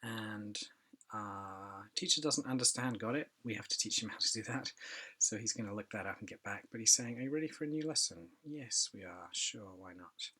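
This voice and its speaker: A man talks through a software demo in a relaxed, offhand way, pausing often to think aloud. His voice sits a little low, with a bright, forward edge and a slightly husky grain. It sounds like he is recording at his computer. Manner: relaxed, thinking aloud with many ums and asides. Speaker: product demonstrator. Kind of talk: casual software demo walkthrough.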